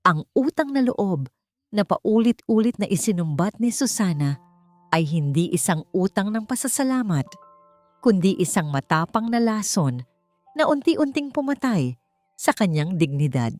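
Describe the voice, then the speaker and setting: A woman with a Filipino accent reading a scripted story in a deliberate, emotive, theatrical way. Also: medium pitch, sing-song, a little mellow. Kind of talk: dramatic scripted story narration. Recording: roomy sound with faint music. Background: faint music.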